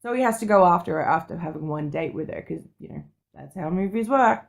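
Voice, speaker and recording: A woman chatting casually as a podcast panelist, with an excitable, gushing, informal way of talking. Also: on the low side, quick pace, gravelly. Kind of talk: casual chat.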